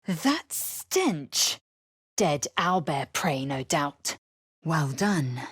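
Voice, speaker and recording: An actress playing a fierce, haughty young female alien warrior in a video game, with a raspy voice and clipped, imperious, disdainful delivery. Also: sits low, big pitch swings, very slow, heavily acted. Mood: brusque. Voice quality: raspy, husky. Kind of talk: video game character acting.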